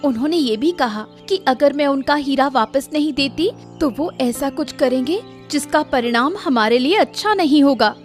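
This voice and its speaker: High-pitched firm village woman played by an actress. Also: animated, normal speed, few pauses, soft background music. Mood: worried.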